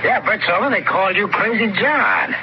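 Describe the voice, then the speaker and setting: An actor playing a gruff, suspicious American prospector father with a curt, folksy way of talking, in an old-time radio drama. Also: high-pitched, wildly swooping pitch, normal speed, full character voice. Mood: scornful. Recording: muffled, noisy old radio recording.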